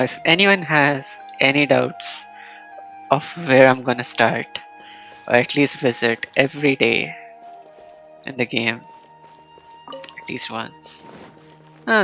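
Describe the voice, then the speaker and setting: Female game streamer: very deep, gravelly, casual muttered asides, faint music underneath. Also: slow, pauses often, fairly bright tone, rough recording. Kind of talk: casual live gameplay commentary.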